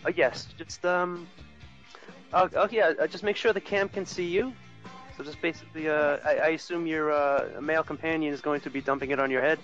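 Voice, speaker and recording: A man with a fairly high voice speaks on a dull phone line with music in the mix. He gives casual instructions like a radio host, polite and a little hesitant. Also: fairly even intonation, brisk, a bit bright. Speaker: prank caller posing as radio station host. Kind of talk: casual directing over a phone call. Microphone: phone call. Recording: muffled lo-fi phone call with music.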